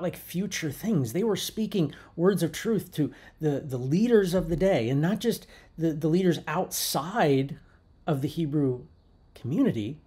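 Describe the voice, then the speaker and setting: Mellow, husky-voiced man preaching. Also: a little high. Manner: thoughtful, unhurried preaching with frequent restarts. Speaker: pastor. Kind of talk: sermon. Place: church sanctuary.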